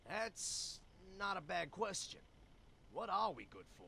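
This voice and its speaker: A man's voice: high voice, very animated, unhurried, vocal fry, bright, forceful tone, a little hiss or hum, exaggerated voice. A man voicing a scheming, cheerful small animal salesman with persuasive patter in a cartoon adventure game. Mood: scheming, upbeat.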